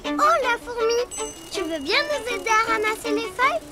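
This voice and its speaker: Little cartoon girl, extremely high voice, bubbly, eager, expressive, loud music underneath. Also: moderate pace. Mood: eager, playful. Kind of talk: children's cartoon dialogue.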